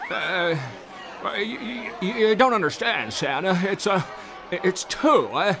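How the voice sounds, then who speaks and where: High, stammering elf doctor. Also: male voice, animated, slow, a crowd in the background, background noise, exaggerated voice. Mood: flustered, hesitant. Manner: stammering, hesitant, stumbling over words. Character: flustered nervous elf doctor, male, high-voiced.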